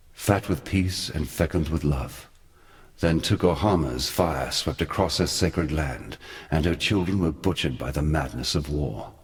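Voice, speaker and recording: Sin, a very deep brooding god. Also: male voice. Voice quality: very deep, weighty voice. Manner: measured, theatrical, wry storytelling. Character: Sin, ancient brooding male god, sardonic and eloquent. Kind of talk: scripted video game character monologue.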